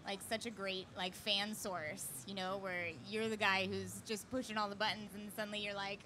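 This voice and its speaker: A woman's voice: medium pitch, flat delivery, moderate pace, pushed and bright. An enthusiastic woman interviewer chatting casually and gushing to her guest. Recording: noisy convention event background.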